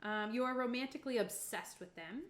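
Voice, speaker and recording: A woman's voice: on the high side, brisk. Echoey woman chatting over game options. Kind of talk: casual group chat, reading out game options. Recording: roomy, echoing room sound.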